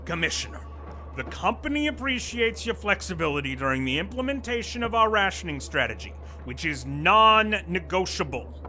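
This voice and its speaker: A man's voice: sits high, big pitch swings, normal speed, bright, forceful tone, soft background music, heavily acted. A man playing an exasperated, pompous corporate vice president speaking formal management jargon with strained patience in a comedic audio drama. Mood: officious.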